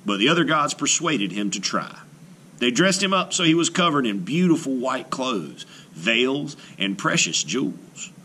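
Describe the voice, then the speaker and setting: Casting: male children's storyteller, theatrical, forceful, very varied pitch, unhurried. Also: slight background noise. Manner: expressive, theatrical, deliberate. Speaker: read-aloud storyteller. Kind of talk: dramatic children's storybook narration.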